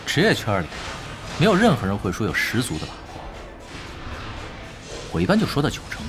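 An actor playing a laid-back, cocky veteran pro gamer in an audio drama, wry and self-assured. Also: male voice, fairly low pitch, huge pitch swings, fairly quick, over music. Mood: calm, analytical.

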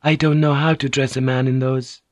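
A man's voice: mid-range voice, little pitch movement, moderate pace, no echo, pitched above the performer's own voice, natural voice. A man reading an audiobook, voicing a composed young noblewoman in a polite, formal, restrained manner. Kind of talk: audiobook character voice.

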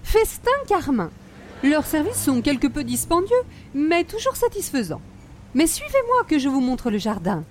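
A woman's voice: high-pitched, sing-song, moderate pace, slight background noise. A woman playing an affected, snobbish older hostess with a Quebec French accent and precious, formal diction, in radio comedy style. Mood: gracious, affected. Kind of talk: old-time radio comedy acting.

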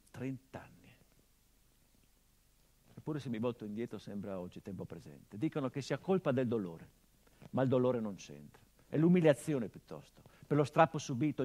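A man with an Italian accent acting in a radio drama as a grieving grown son, speaking a theatrical monologue that swings from quiet and low to impassioned outbursts. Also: natural voice. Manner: intense, builds to impassioned outbursts then drops low.

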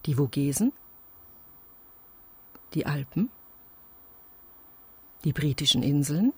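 A performer in a radio play as a calm instructor guiding listeners through an imagination exercise, measured and deliberate. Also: mid-range voice, husky, dry recording, heavily acted. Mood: calm, instructing. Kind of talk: slow, scripted instructional radio-play narration.

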